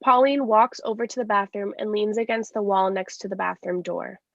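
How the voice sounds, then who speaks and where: Woman, stage directions reader, scripted narration, gravelly fry, muffled lo-fi recording. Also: mid-range voice, steady tone, moderate pace.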